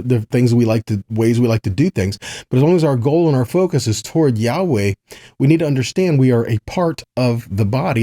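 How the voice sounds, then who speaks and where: A man's voice: mid-range voice, mellow, no room sound, clean studio sound. A man teaching a weekly Bible lesson in a conversational way, reading scripture passages aloud and checking in with his listeners. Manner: conversational, checks in with "right?" and "see that?". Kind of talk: weekly Torah portion teaching.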